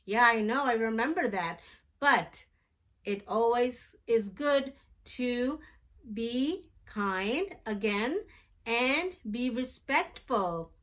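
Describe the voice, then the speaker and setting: A woman reading a picture book aloud to children slowly, in a sing-song, emphatic storytelling manner. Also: fairly high pitch, animated, fairly bright tone.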